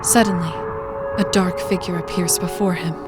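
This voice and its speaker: A woman's voice: lowish voice, steady tone, moderate pace, natural voice. A woman narrating a scripted fantasy drama as its storyteller. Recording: music behind the voice.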